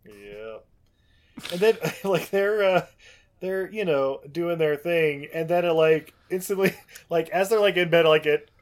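Male podcast co-host, giggly and rambling, slightly high voice. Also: a little slow. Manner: laughs often, rambling with many fillers. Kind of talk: casual chat recapping a film.

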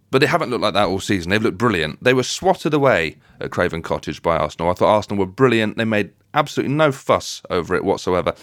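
Low-voiced man hosting a podcast. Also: no echo, clean studio sound. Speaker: podcast host. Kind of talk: casual football chat, hosting.

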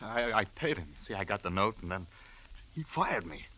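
An actor in a 1940s-style radio crime drama playing a guilt-ridden, desperate husband, agitated and halting. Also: male voice, on the low side, lively intonation, sharp tone, slightly rough, no room sound, heavily acted, poor audio. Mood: shaken, panicked. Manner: agitated, stammering, halting delivery. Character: panicked, guilt-ridden husband who has just killed his employer.

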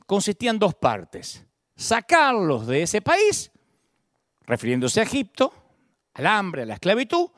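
Man, middle-aged pastor, Buenos Aires accent, preaching, very animated, high voice. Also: dry recording, studio quality. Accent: Argentine Spanish, Buenos Aires. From Argentina. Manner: emphatic preaching with sudden pitch swings and repeated words. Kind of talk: sermon.

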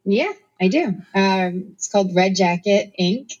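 Woman, from Norway, actress guest, casual interview, chatty, laughs a lot, lots of ums, mid pitch, slightly muffled audio. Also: animated, unhurried, a little mellow, husky.

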